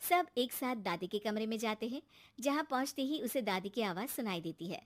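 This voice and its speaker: A woman's voice: a little high, expressive, fairly quick. A woman narrating a scripted cartoon family story as the storyteller.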